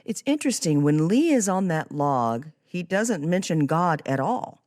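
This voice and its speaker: A woman's voice: fairly low pitch, sing-song, unhurried, a little mellow, dry recording, studio quality. A woman hosting a podcast interview, talking conversationally about a book and faith. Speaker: podcast host.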